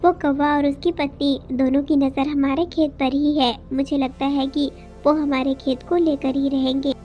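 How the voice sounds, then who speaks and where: A woman acts out a worried, hardworking mother bird for a children's cartoon. Her voice sits high and pleads tenderly and earnestly. The sound is a little dull, with music playing beneath. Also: flat delivery, normal speed, lightly acted. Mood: worried, sorrowful. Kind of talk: Hindi children's cartoon dialogue.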